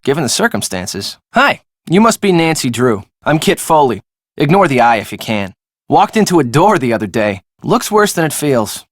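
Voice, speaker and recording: A man's voice: on the high side, a bit bright, no echo, studio quality. An actor playing a defensive, glib young American man in casual, conversational video game dialogue. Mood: casual, wry.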